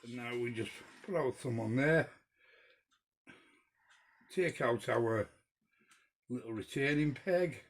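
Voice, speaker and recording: A man giving a casual hands-on repair tutorial in an unhurried, informal, step-by-step way. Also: medium pitch, slight background noise. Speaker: toy repair demonstrator.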